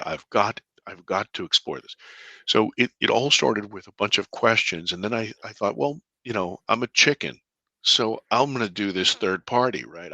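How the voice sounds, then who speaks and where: Deep-voiced man telling his story earnestly. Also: no room sound. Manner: earnest, halting with restarts, bursts of excitement. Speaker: filmmaker, interview guest. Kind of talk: conversational storytelling.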